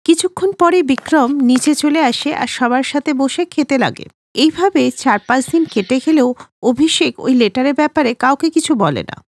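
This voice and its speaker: A woman's voice: high voice, normal speed, no echo. A woman narrating a scripted cartoon story.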